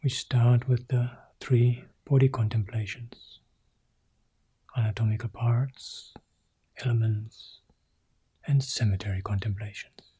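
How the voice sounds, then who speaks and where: A male monk guiding a meditation in soft, short phrases that often sink into a whisper. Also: medium pitch, steady tone, slow pace, long pauses, breathy edge. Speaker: Buddhist monk leading meditation. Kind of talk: guided meditation.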